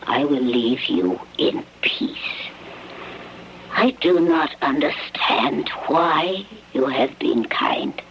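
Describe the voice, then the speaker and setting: An actor voicing a small, wary, menacing alien visitor in a radio drama, with stilted, formal phrasing and a husky, breathy voice. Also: mid-range voice, lively intonation, fairly bright tone, slight background noise. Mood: weak, wary. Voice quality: husky, breathy, often near a whisper. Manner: halting, stilted, formal phrasing without contractions. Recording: dull lo-fi old radio recording.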